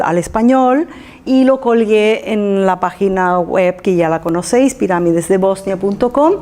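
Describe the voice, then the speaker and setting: Woman, non-native speaker, mellow timbre, informal lecture, halting delivery, searching for words. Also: medium pitch. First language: not Spanish. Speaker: speaker giving a talk.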